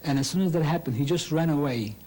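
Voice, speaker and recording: An older man from Hungary giving a halting, recalled testimony as an interviewee, often repeating words as he searches for them. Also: on the high side, mellow, poor audio.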